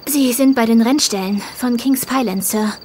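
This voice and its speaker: An actress as a high-voiced, timid servant girl. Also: moderate pace, darkish tone, slight background noise, natural voice. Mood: wary, polite. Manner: meek, nervous, deferential. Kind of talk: German radio play acting.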